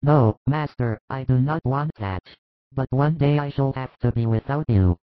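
Male reader as an insistent follower begging his master in a religious story; mid pitch, phone-like audio. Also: normal speed, dry recording, lightly acted. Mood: insistent pleading. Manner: insistent, pleading. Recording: thin phone-like band, dry.

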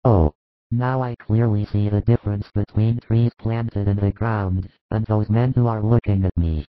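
Guy doing an awestruck humble blind man from a bible story, lowish voice, muffled phone-like sound. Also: steady tone, moderate pace, no echo, close to an everyday voice. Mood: awed adoration. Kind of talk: dramatized scripture story reading.

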